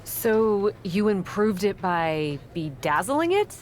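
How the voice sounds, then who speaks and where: A woman playing a blunt, proud young pro wrestler in a video game, dry and guarded, quick to bristle. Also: mid-range voice, big pitch swings, a little hiss or hum. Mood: skeptical. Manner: dry, guarded, indignant when pushed. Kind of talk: video game cutscene acting.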